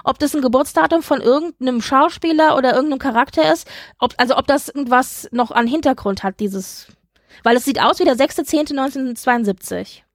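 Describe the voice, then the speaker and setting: Female podcast co-host, high-pitched, chatty and enthusiastic, very dry sound. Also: fairly quick, no room sound. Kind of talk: casual fan chat recapping a TV episode.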